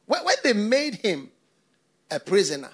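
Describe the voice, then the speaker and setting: Animated man preaching a sermon. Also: sits high, measured pace, breathy edge. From Ghana. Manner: preaching with repetition and rhetorical questions. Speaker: pastor.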